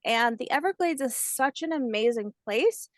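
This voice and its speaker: A woman's voice: sits high, lively intonation, normal speed, no echo, clean studio sound. A woman, a children's book author, talking casually and informally.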